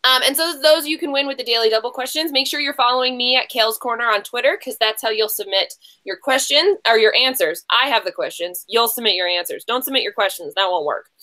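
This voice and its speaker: A woman's voice: a little high, quick pace, few pauses, sharp tone. A woman hosting a casual livestream game show in a chatty, gushing way, rambling and interrupting herself with slangy asides.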